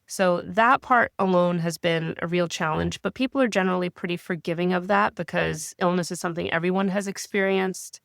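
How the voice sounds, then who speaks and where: A woman in her thirties who is getting over a cold, speaking as a guest in an interview in a measured, reflective way. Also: on the low side, gravelly, fairly bright tone, no room sound, studio quality. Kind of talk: interview conversation.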